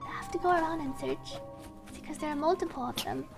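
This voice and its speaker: Really high girlish-pitched woman doing game commentary, playful, reads the subtitles out loud, some music behind. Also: fast talker, creaky. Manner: playful, chatty, reads on-screen text in a storytelling way. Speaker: game streamer. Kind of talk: live gameplay commentary, reading subtitles and narration aloud. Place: indoor stream setup with game music behind.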